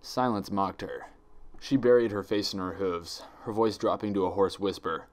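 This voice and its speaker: A man narrating a written story in a deliberate, dramatic storytelling manner. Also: sits low, steady tone. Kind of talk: scripted narration, dramatic fan fiction reading.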